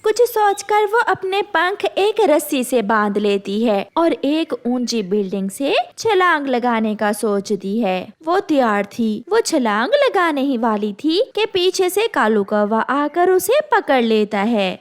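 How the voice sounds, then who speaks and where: A woman storyteller narrates a children's cartoon tale. Her voice is high, with lively rises and falls. She reads the script at a slow pace.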